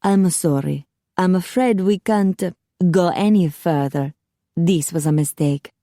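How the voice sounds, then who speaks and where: A woman's voice: on the low side, unhurried, dark tone, dry recording, studio quality. A woman voicing an uneasy, polite, reluctant man in an audiobook, restrained and apologetic.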